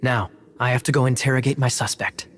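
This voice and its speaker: A man voicing a suave, teasing young knight captain in a video game, speaking smoothly and playfully. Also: medium pitch, flat delivery, a little fast, a little hiss or hum, close to an everyday voice. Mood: gracious. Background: game music.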